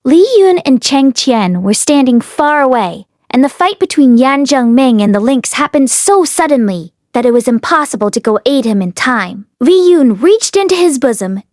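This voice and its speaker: A woman narrates a fantasy novel in a fairly high-pitched voice. She reads like an expressive storyteller working from a script. The sound is very dry, with hardly any room on it. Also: no echo. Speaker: audiobook reader.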